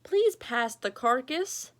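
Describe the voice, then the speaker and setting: A woman's voice: high-pitched, lively intonation, takes it slowly. A woman voicing a bossy, chatty family dog in a picture book, cheeky and emphatic. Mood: playful.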